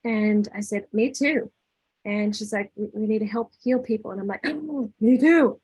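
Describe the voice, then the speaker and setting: A woman's voice: mid-range voice, normal speed, darkish tone, slightly rough, no echo, rough recording. A woman giving a casual inspirational talk, conversational, telling anecdotes with acted-out dialogue and checking in with her listeners. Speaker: speaker leading a spiritual talk.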